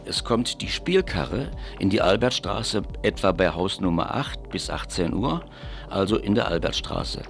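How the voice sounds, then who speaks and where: A man with a very low, gravelly voice presents local listings on morning radio. He goes item by item, haltingly, repeating details, with faint music playing underneath. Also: sing-song. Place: radio studio.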